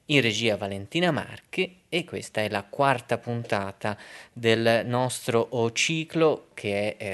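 A man hosting a radio programme, introducing it in a measured, explanatory, slightly hesitant way. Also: fairly low pitch, a bit bright. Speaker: radio host.

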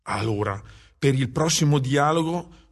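An actor playing an eager, approving co-writer in a comic radio drama. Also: male voice, medium pitch, expressive, a little slow. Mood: brisk, planning. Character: eager, approving male scriptwriting partner.

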